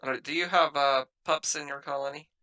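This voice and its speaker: A man's voice: a little high, fairly even intonation, brisk, pushed and bright. A man playing a skeptical, blunt spaceship captain in a tabletop sci-fi game, asking pointed questions. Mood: pointed, questioning. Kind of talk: improvised tabletop roleplay dialogue.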